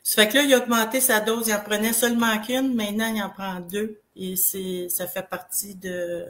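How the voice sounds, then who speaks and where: A woman's voice: mid-range voice, fairly even intonation, a bit bright. Congested Québécois woman. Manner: rambling, halting, full of restarts. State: has a cold, congested. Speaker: guest sharing product testimonial. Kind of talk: casual live chat.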